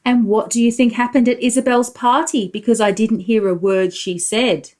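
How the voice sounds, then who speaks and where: A woman's voice: on the high side, fairly even intonation, normal speed, a little mellow. A woman preschool teacher reading a storybook aloud to young children, expressively, explaining as she goes and putting questions to the listeners.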